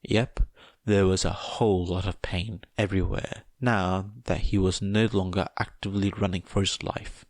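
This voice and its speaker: A man's voice: low-pitched, little pitch movement, moderate pace, a little mellow, no room sound. A man narrating a fiction story from a script in audiobook style.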